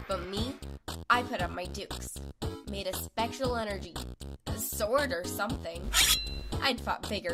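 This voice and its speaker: A woman plays a tough young girl in a cartoon dub, cocky and offhand with casual slang. Her voice sits at a medium pitch over clearly audible music. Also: close to an everyday voice. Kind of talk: comic dub voice acting.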